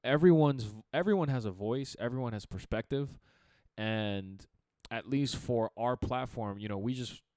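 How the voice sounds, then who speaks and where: A young man co-hosting a casual podcast chat, with a deep radio-type voice, animated and quick to laugh. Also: no room sound. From Chicago area. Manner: animated, laughs often, talks in bursts with restarts. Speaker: podcast co-host.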